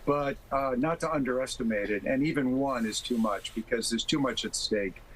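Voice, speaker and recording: A man speaking as an expert guest in a TV interview, explaining things in a measured, careful way. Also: mid-range voice, fairly bright tone, a little hiss or hum, low-quality recording. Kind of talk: live TV interview.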